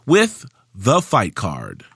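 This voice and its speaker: A man's voice: fairly low pitch, huge pitch swings, very slow, vocal fry, over loud music. A man hosting a casual podcast, reading out a fight card, joking and laughing as he stumbles over names. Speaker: podcast host.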